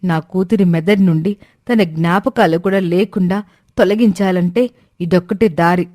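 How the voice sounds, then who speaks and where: A woman's voice: on the low side, moderate pace, dark tone, dry recording, studio quality, close to an everyday voice. A woman playing a possessive, anguished young mother in a family drama story, speaking with emotional, stubborn insistence. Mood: hurt, insistent.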